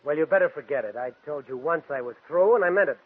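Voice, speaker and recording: An actor playing an eager, edgy aspiring stage actor in a 1940s radio drama, earnest and quick to bristle. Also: male voice, on the high side, lively intonation. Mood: tense, curt. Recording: phone-like old 1940s radio recording.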